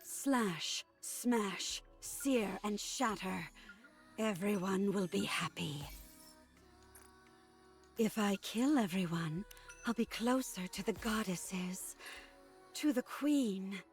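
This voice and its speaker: A young female game warrior with a fanatical calm. She chants her battle lines at a slow, measured pace, eerily serene and murderous, with faint music behind her. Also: medium pitch. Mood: serenely fanatical. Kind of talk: video game voice acting.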